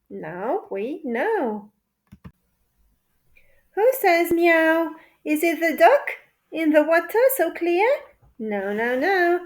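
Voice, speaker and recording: A woman with a high voice reads a rhyming picture book aloud for small children. Her delivery is very slow and deliberate, with a playful sing-song lilt suited to toddlers. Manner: playful, repetitive question-and-answer delivery for toddlers. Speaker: children's story narrator.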